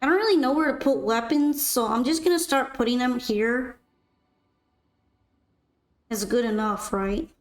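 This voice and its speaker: A young woman streamer chatting casually while gaming, her voice a little raspy and worn out. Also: a little high, measured pace, long pauses, echoey room. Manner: chatty, rambling, upbeat sign-off. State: slightly raspy, tired voice. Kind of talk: casual gameplay stream chat.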